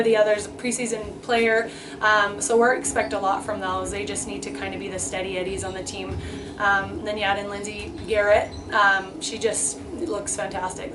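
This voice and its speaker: A woman's voice: mid-range voice, far from the mic. Lady volleyball coach chatting in an interview, off mic, noisy background. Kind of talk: informal sports interview.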